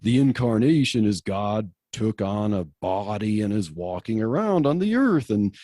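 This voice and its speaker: A man with a mellow, somewhat low voice explains his thinking eagerly, stumbling over his words now and then. He is recorded close and dry. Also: very animated, normal speed, dry recording. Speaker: podcast co-host. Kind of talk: casual theology discussion.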